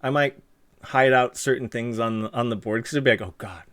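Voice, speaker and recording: A man's voice: medium pitch, normal speed, fairly bright tone. A young man hosting a live stream, chatting casually with a guest and reading out viewer comments in a rambling, easygoing way. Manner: chatty, rambling, many fillers and restarts. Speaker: streamer host interviewing a guest.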